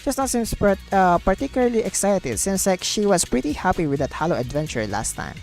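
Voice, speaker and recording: A woman streaming a video game, chatting and reading dialogue aloud in an excitable, gushing way. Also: mid-range voice, fairly quick. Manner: gushing and excitable, exclaims and repeats herself. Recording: background game music. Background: game music.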